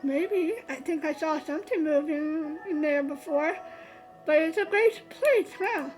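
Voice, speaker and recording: A woman's voice: high voice, a little slow, a little mellow, soft background music, low-quality recording. A woman voicing a cheerful, friendly boy leading a group in a visual novel read-aloud, upbeat and eager.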